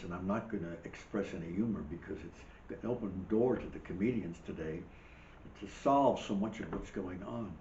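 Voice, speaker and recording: Older man, host monologue, low voice, losing his voice, dull echoey recording. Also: darkish tone, a little hiss or hum. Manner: rambling, emphatic, wry asides. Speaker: show host. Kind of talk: monologue commentary.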